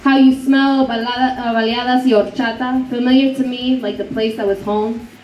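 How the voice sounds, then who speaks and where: A woman's voice: on the high side, fairly even intonation, normal speed, a little mellow. A woman in her twenties earnestly reciting a personal poem to an audience, mixing in Spanish words. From Honduran-born, raised in the United States. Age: 20s. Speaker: spoken word performer. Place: indoor fundraiser event room. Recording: echoey room, distant dull mic, noisy.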